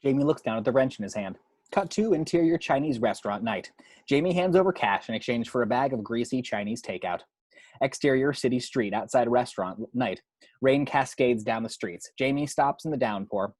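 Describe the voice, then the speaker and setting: A man's voice: mid-range voice, expressive, brisk, darkish tone, breathy edge, dry recording. A man reading out the action description of a screenplay as a scripted narrator.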